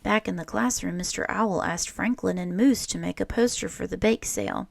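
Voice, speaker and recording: A woman reading a children's picture book aloud in an expressive, deliberate storytelling manner. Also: fairly low pitch, vocal fry. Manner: animated, deliberate storytelling with acted character lines.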